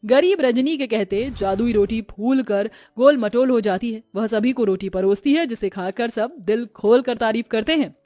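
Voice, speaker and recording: A woman's voice: on the high side, moderate pace, hardly any pauses, warm tone, faint music behind. A woman storyteller reading scripted narration for a cartoon tale.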